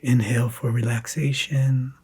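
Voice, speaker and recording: A man guiding a scripted meditation in a soft, hushed, breathy manner, leaving long pauses between phrases. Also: medium pitch, little pitch movement. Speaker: meditation guide.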